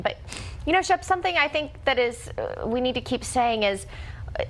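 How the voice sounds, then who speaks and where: A woman's voice: on the high side, a bit bright, a little hiss or hum. A woman with an American accent giving conversational live news analysis as a reporter, explaining with frequent hesitations.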